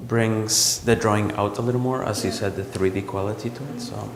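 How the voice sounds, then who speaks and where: A man from El Salvador, a visual artist, talking about his work in a gallery in a reflective, halting way with many ums and restarts. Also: fairly low pitch, fairly even intonation, background noise. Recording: roomy gallery echo with long reverb.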